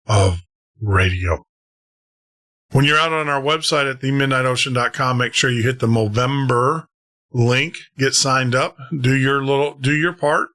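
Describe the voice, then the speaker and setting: A man's voice: medium pitch, a bit bright. A man hosting a late-night paranormal radio podcast, closing the show in a casual, upbeat way and often repeating words and restarting phrases. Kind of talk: casual on-air sign-off with announcements.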